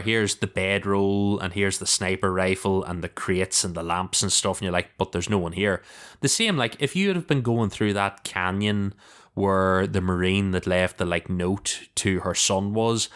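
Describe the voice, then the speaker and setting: A man's voice: low voice, a bit bright, smooth. A man chatting casually as a podcast panelist, talking informally and thinking aloud.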